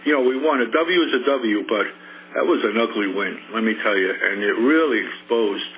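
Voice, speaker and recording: A man from South Carolina leaving a casual, halting fan voicemail over the phone. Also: lowish voice. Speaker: voicemail caller. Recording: narrow phone voicemail with faint noise.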